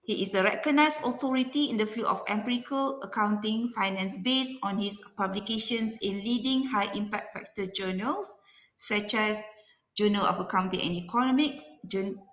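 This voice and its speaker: A woman with a Malaysian accent reading a formal speaker introduction as event moderator, halting and careful, stumbling over the odd word. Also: mid-range voice, a bit bright. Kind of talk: formal scripted introduction read aloud. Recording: echoey room sound.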